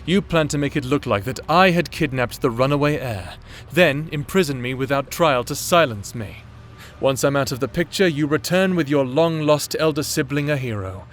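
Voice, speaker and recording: A man's voice: fairly high pitch, expressive, moderate pace, slight background noise, lightly acted. A man playing an anxious but defiant private detective in a fantasy audio drama, with an emotive, earnest delivery. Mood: guarded, probing. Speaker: voice actor.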